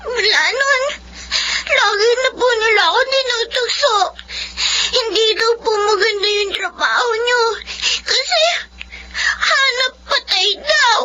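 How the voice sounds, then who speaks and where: A woman's voice: takes it slowly, bright, forceful tone, exaggerated voice. A woman playing a sensitive, whiny young Filipino schoolchild in a radio drama, pleading in a childlike voice. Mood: upset, tearful. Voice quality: high, childlike. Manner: pleading, respectful to mother. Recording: dull lo-fi audio, faint noise.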